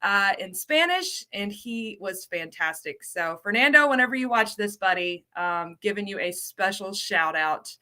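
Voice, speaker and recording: Woman, from the United States, ESL coordinator, chatty, repeats words, bright tense voice. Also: medium pitch, expressive, measured pace, hardly any pauses. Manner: bubbly, repeats words for emphasis, greets viewers. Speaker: ESL program coordinator hosting a livestream. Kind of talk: casual livestream lesson.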